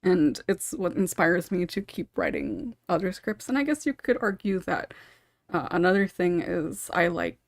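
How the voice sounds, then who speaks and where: A woman's voice: lowish voice, animated, normal speed, no echo, clean studio sound. A woman hosting a casual podcast, rambling and interrupting herself, with small chuckles between thoughts. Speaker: podcast host and script writer.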